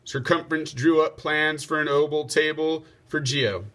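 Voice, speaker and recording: A man's voice: on the high side, steady tone, moderate pace, fairly bright tone. A man reading a children's storybook aloud expressively, as a teacher would.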